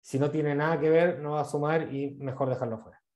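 A man in his thirties with a Chilean accent, giving casual advice on a video call, fast and full of fillers and repeated words. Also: a little high, steady tone, a little mellow. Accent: Chilean Spanish. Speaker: guest speaker on international internships. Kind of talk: casual advice in an online talk. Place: small room indoors. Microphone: video call, earphone mic.

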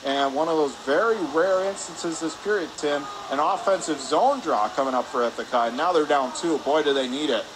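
A man doing live radio play-by-play hockey commentary in rapid, descriptive calls. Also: a little high. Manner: rapid descriptive calls, excited on scoring chances. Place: press area above rink in an indoor arena. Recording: noisy ice arena, steady background din.

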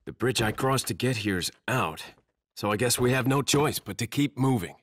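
An actor playing a young action hero in a video game, dry, terse and confident. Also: male voice, medium pitch, animated, normal speed, slight background noise. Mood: cool resolve. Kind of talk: video game cutscene acting. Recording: loud game music and effects behind.

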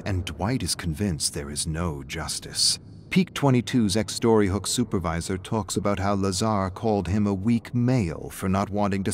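Low-pitched man narrating a dramatic story. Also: slight background noise.

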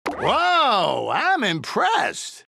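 An actor voicing an excitable little toy creature who calls out like a showy carnival barker in a video game. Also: medium pitch, drawn-out words, vocal fry, background noise, full character voice. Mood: showy, eager. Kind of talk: video game character dialogue.

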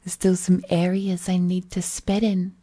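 A woman's voice: on the low side, completely flat delivery, unhurried, dark tone. A woman playing a cheerful, possessive villainess kidnapper in a roleplay audio drama, cooing and coaxing the listener in folksy slang. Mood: doting, praising. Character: cheerful, possessive female supervillain kidnapper, doting and unhinged.

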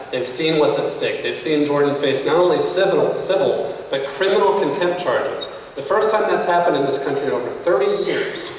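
Man, 30s, podium speaker in a conference hall, earnest and measured, mid-pitched voice, heavy room echo, distant mic. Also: slight background noise. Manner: earnest, measured speech that rises in pitch for emphasis. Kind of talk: prepared conference speech. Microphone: podium mic, recorded by a camera across the room. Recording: echoey hall picked up from far off.

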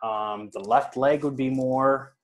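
A man's voice: medium pitch, slow pace, reverberant. A man from Toronto with a Canadian accent, asking hesitant, filler-heavy questions about hockey training on a video call. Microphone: webcam on a video call.

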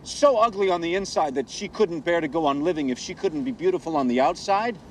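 A man's voice: fairly high pitch, expressive, brisk, a little hiss or hum, natural voice, low-quality recording. An actor playing a cold, self-righteous male killer who speaks with cold scorn and contempt. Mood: contemptuous.

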